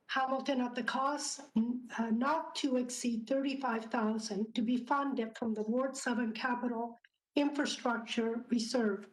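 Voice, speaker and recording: A woman councillor, losing her voice from a cough, reads a motion haltingly with many fillers and clears her throat. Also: fairly high pitch, rough recording. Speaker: city councillor. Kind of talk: reading a motion at a council meeting.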